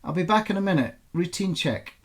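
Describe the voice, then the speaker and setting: A man's voice: sits high, expressive, fairly quick, voice pushed up. A man voicing a wary, anxious mother on the run in a novel reading, guarded and feigning indifference. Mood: firm, reassuring.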